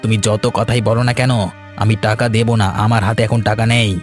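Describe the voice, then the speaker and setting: A man's voice: lowish voice, little pitch movement, brisk, faint music behind, lightly acted. A man voicing a shifty, cowardly village schemer in a cartoon folk tale, blustering and whiny, stammering when cornered. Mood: defiant. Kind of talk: animated folk tale voice acting.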